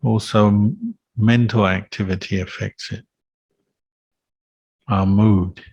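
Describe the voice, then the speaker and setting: A man leading a guided meditation as a Buddhist teacher, calm and unhurried, leaving gentle pauses between phrases. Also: fairly low pitch, breathy edge, no room sound, lo-fi sound.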